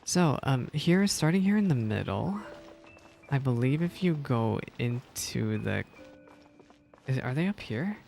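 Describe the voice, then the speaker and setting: Creaky-voiced man reacting to a game. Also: medium pitch, expressive, a little slow, darkish tone, soft background music. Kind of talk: casual live reaction commentary.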